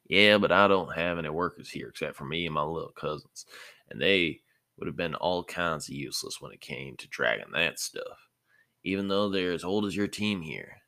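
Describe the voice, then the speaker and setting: A man's voice: deep voice, normal speed, bright, forceful tone, dry recording. A man voicing a friendly young cattle farmer in a story reading, chatty and folksy in manner.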